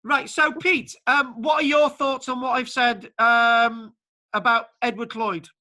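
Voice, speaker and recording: High, bright-voiced man leading an online lecture. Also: steady tone, unhurried. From Wales. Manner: stammering, repeats words, many fillers. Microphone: video call.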